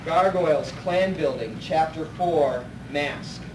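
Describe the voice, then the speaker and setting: A man's voice: on the high side, takes it slowly. A man narrating a live radio play as a plain-spoken storyteller. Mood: storytelling. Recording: echoey noisy hall, distant mic.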